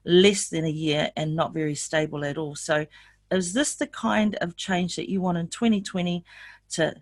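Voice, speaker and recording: A woman's voice: on the low side, fairly even intonation, moderate pace, dry recording, clean studio sound. An older woman from New Zealand presenting an online sales webinar, upbeat and asking her audience lots of questions.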